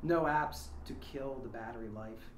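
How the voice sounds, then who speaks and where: A man hosts an informal read-along, switching between casual talk and reading from a story. His pitch is middling for a man. The room echoes around him on a small lapel mic, with faint noise underneath. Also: unhurried. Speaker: host of a read-along show. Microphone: small lapel microphone shared by the group.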